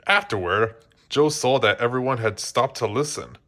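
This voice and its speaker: A man's voice: medium pitch, lively intonation, moderate pace, a bit bright. A man reading a children's storybook aloud as narrator, often stumbling and restarting his phrases. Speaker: storybook reader.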